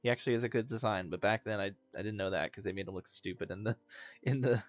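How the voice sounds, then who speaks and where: A man's voice: on the low side, fast talker. A man doing casual, opinionated reaction commentary as a streamer, talking in a slangy, rambling way.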